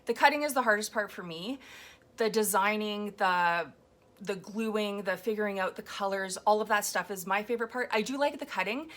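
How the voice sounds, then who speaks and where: A woman with a slightly hoarse, raw voice chatting casually about her collage process at home, rambling with frequent ums. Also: medium pitch, normal speed, fairly bright tone. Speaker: collage artist. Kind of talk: casual commentary while making a collage. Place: home, indoors at a table. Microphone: overhead camera on a floor mount.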